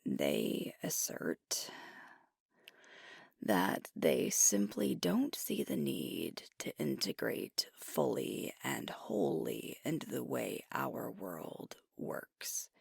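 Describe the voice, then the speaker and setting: A woman playing a frustrated, doubting alpha werewolf pack leader in an intimate ASMR roleplay, speaking breathily and deliberately into a close microphone. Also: extremely low pitch, big pitch swings, darkish tone, dry recording, exaggerated voice. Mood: weary explaining. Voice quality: breathy, whispery.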